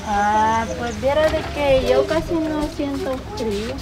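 A young woman answering interview questions in a relaxed, chatty way outdoors beside a wooden wall. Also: high-pitched, a little slow. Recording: loud crowd chatter behind.

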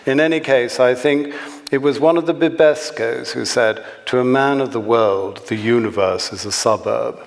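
A man's voice: mid-range voice, normal speed, close to an everyday voice. Man reading as a sardonic English snob. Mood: wearily sardonic. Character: world-weary, sardonic, obsequious upper-class man. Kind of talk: satirical novel read aloud. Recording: echoey hall, clear background noise.

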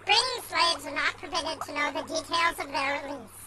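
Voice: sits high, normal speed, very noisy, close to an everyday voice. A performer playing a smug, pompous alien crustacean overlord who lectures fussily, in a radio sci-fi serial. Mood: condescending. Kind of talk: old-time radio sci-fi serial parody.

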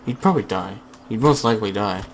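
A young man casually chatting over his gameplay through a headset, slightly hoarse, rambling with fillers and restarts. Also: on the low side, fast, noisy background. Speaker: gaming channel host.

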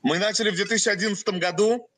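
Bright, high-voiced young Russian man. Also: no echo. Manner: speaks in short phrases, pausing for his interpreter. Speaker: company president. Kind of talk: webinar presentation.

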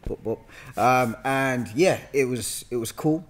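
A man's voice: medium pitch, measured pace. London man in his thirties, joking storyteller. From Hackney, London, England. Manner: joking, slangy, animated storyteller. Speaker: podcast guest, actor. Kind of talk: casual chat, anecdotes. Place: indoor studio room with sofa. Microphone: podcast mic on boom arm.

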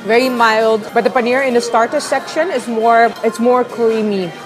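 A young woman reviewing food on camera for a vlog, expressive as she tastes and talks. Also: a little high, moderate pace, music in the background, low-quality recording. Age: young adult. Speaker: food and travel vlogger. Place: busy restaurant dining room. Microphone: clip-on lapel mic. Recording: restaurant crowd chatter behind.

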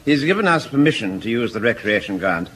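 An actor playing a mild, gently ironic sergeant with a languid drawl in a radio sitcom. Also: male voice, on the low side, very animated, fairly quick. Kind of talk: 1970s radio sitcom acting. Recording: old radio recording with faint hiss.

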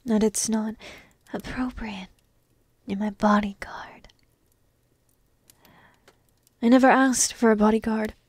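Woman doing a soft breathy dragon princess for asmr roleplay, teasing n smitten, kinda high voice, barely rises or falls. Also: steady tone, no echo. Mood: pleading, wistful. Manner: breathy half-whispered, slow, coy delivery. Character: petite young dragon princess, coy, teasing, lovestruck.

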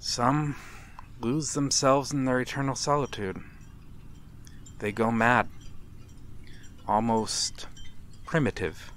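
A man playing a courtly, brooding vampire stranger, menacing and seductive, speaking softly and deliberately in an ASMR roleplay. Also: fairly low pitch. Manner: soft, deliberate, theatrical, with breathy whispers. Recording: steady ambient noise, harbor soundscape.

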